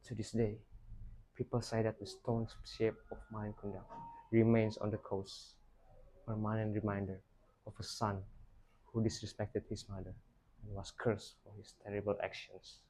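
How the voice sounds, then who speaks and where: A man's voice: lowish voice, monotone, normal speed, mellow, breathy edge, poor audio. A young man tells a rehearsed folktale dramatically, in emphatic, declaimed phrases. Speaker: student storyteller.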